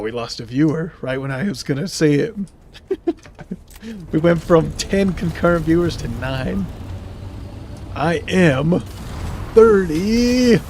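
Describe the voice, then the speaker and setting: Thirties male streamer, casual and meandering, slightly high voice, talks to his chat between plays. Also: darkish tone, a little hiss or hum. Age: 30s. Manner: rambling, chatty, reads and answers viewer chat. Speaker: game streamer. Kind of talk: casual live-stream chat while gaming.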